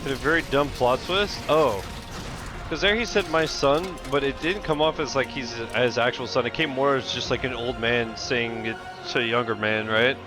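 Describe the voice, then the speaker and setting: A man's voice: mid-range voice, normal speed. A man reacting to game cutscenes on a livestream with casual, joking, slangy, irreverent chat. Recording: game music bleeding behind voice. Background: game music.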